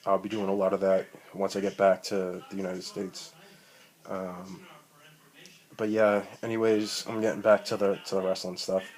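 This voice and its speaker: A man, rambling profanely and full of ums as he talks about wrestling into a tablet mic. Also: low voice. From Boston, Massachusetts. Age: 30s. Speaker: amateur wrestler. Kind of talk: rambling self-recorded vlog. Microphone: tablet built-in mic.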